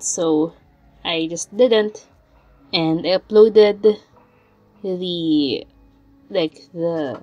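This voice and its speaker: A young woman with a raspy voice from a lingering cold, chatting in a rambling way as the host of her art channel. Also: mid-range voice, darkish tone, rough recording. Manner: rambling, chatty, with fillers and sighs. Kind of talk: casual chat over drawing footage.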